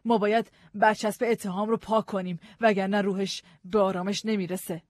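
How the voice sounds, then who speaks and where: A grieving widow, quietly stubborn, speaking in a raised, soft, insistent voice, as a male narrator plays her. The sound is dry and close. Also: high-pitched, flat delivery, no room sound, pitched above the performer's own voice, lightly acted. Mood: determined.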